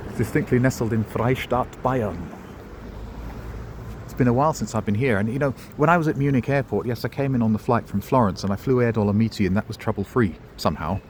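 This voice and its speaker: A man's voice: medium pitch, fast talker, a little hiss or hum. A middle-aged man, giving a rambling walking-tour commentary with wry, self-mocking asides, outdoors in a town street. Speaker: travel vlogger.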